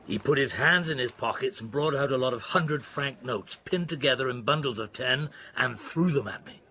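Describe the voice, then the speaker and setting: An actor playing a weary, dogged middle-aged police detective who narrates his case in a radio drama, with a gravelly voice. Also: mid-range voice, normal speed, bright, forceful tone, close to an everyday voice. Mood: reflective, brooding. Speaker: narrator and lead character. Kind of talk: radio drama acting, first-person narration.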